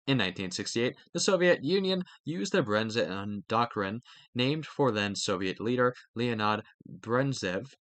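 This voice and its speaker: A man reading a textbook aloud for students, stumbling now and then over words in a somewhat halting delivery. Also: mid-range voice, fairly bright tone. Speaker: reader of a study read-aloud.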